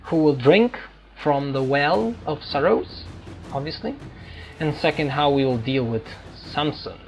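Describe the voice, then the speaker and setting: A man who is a non-native English speaker giving casual, halting, digressive commentary as a gaming let's player. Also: fairly high pitch, poor audio. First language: not English. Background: faint game music.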